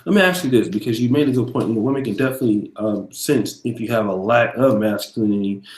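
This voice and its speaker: A man hosting a casual video interview, asking long questions with many pauses and fillers. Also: medium pitch, reverberant. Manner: thanks viewers mid-question, hesitant fillers. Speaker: host.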